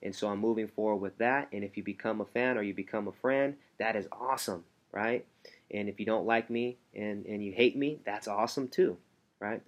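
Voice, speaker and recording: A man working as a motivational coach, talking from home in a hushed, rambling way because his baby is asleep nearby. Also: lowish voice. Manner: soft, hushed delivery to keep a sleeping baby quiet, rambling with restarts. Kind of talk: casual motivational talk to camera.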